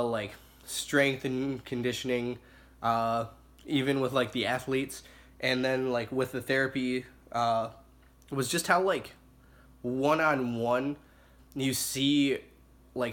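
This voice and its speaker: Young male student, raspy and hoarse from illness, casual and halting with lots of fillers. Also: medium pitch, fairly bright tone. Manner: halting, many fillers and self-corrections. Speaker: student reporting on observation hours. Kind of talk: casual spoken report.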